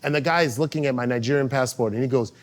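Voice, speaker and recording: A man with an American accent, co-hosting a casual chat and telling stories in a lively way, acting out voices and laughing as he goes. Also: mid-range voice, rapid-fire. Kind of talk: casual chat, animated storytelling.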